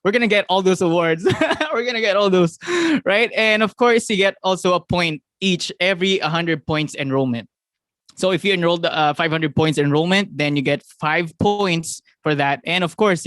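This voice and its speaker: Excited Filipino-accented man, fairly high. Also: no room sound. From Philippines. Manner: energetic, fast, repeats "right?", laughs often. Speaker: network marketing team leader. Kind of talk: excited motivational talk on a video call.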